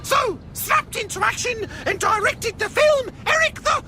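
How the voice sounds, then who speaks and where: A man voicing a shrill, gossiping middle-aged housewife in a radio comedy sketch, screechy and exclamatory. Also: animated, measured pace, pushed and bright, shouting, a little hiss or hum, exaggerated voice. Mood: gossipy, excitable.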